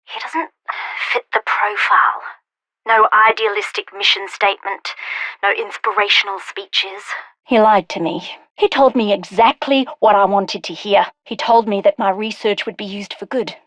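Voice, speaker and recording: An actress playing a brilliant, wry and determined scientist in a video game, speaking articulately in scripted lines and journals. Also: female voice, mid-range voice, steady tone, moderate pace, sharp tone, no room sound, poor audio. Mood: troubled, confiding. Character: brilliant wry female scientist, guilt-ridden, urgent and determined. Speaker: scientist character.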